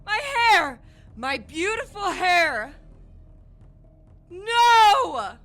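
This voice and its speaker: A woman's voice: very high voice, sing-song, a bit bright, heavily acted. A woman playing a vain, hungry siren from the sea in an audio drama, taunting and menacing. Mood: anguished. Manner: slow, taunting, menacing, hissing. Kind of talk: scripted audio drama acting. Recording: music under the voice.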